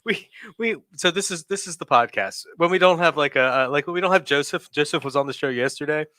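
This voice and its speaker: Bright-voiced man in his forties, rambling. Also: a little high, brisk, dry recording, clean studio sound. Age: 40s. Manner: rambling, self-correcting, laughs often. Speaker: podcast host. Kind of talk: casual chat.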